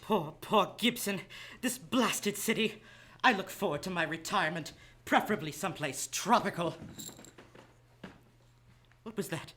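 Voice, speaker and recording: A man's voice: high-pitched, measured pace, pauses often, bright, forceful tone, exaggerated voice. A man playing a fussy arts critic nearing retirement, acting melodramatically in a live radio play. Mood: weary, mournful. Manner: melodramatic, exclamatory.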